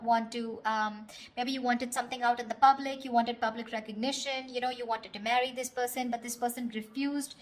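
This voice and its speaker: A woman with a fairly high voice works as a tarot reader, talking straight to the listener. Her delivery is casual and conversational, with a blunt, unfiltered manner that allows for some swearing. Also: poor audio. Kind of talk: casual spoken tarot reading.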